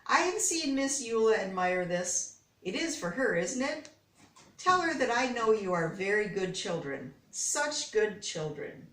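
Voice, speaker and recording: A man's voice: high-pitched, big pitch swings, measured pace, echoey room, far from the mic, full character voice, rough recording. A woman reading aloud as a kindly, thoughtful old immigrant man who keeps a hat shop, in a storybook. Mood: tender. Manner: thoughtful, soft-spoken.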